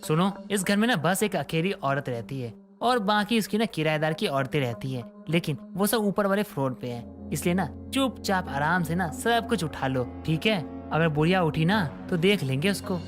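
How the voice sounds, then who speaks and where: A woman voicing a rough, threatening male house thief in a storybook tale, heard from a distance over background music. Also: on the low side, expressive, moderate pace, pitched below the performer's own voice, natural voice. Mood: scheming. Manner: menacing, gruff.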